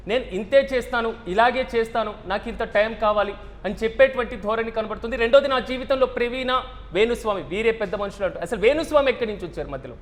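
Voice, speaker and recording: A man anchoring live news with commentary in an emphatic, formal manner that repeats phrases for stress. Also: extremely high pitch.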